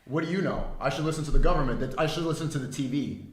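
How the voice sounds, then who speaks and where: A man hosts a casual livestream and talks fast with a playful edge, egging on his guest. His voice sits a bit high for a man. The room has a noticeable echo. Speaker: livestream host. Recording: echoey room.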